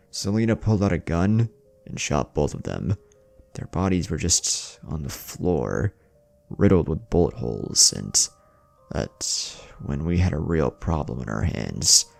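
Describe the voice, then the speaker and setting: A man narrating a first-person horror story as a haunted, lovestruck teenage boy, brooding and halting with dramatic pauses. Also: sits low, gravelly, dry recording. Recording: music behind the voice.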